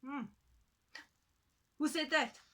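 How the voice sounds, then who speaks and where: A woman's voice: sits high, extremely slow, fairly bright tone, pitched above the performer's own voice, heavily acted. A woman voicing a kind, easily flustered woodcutting wife in an exclamatory storybook style. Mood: startled. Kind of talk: storybook character voice.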